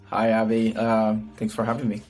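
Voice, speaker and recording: A Puerto Rican man with a native Spanish speaker's accent talks casually as an interview guest. His voice is on the low side and quite flat in pitch. He rambles, repeats words and checks in with "right?", with music behind him. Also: normal speed. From Puerto Rico. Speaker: podcast guest, PR strategist. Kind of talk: casual conversational interview answers.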